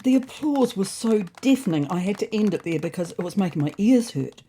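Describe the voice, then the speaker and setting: A woman with a slightly husky voice reading a scripted comic first-person story in an expressive, indignant, in-character manner. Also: mid-range voice, brisk. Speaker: narrator of an audio story.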